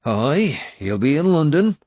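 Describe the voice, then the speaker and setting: A man voicing a blunt, gruff Irish male warrior with an Irish accent, scolding and coarse. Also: fairly high pitch, big pitch swings, measured pace, a little mellow, dry recording, studio quality, voice pushed up.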